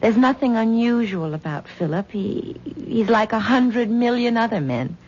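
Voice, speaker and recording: A subdued young wife in an old radio play, hesitant and worn out. The broadcast is dull, with a faint hiss in the background. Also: female voice, on the high side, expressive, moderate pace, a little mellow. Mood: weary. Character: weary young wife, Tessa, leaving husband. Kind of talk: 1970s radio drama acting.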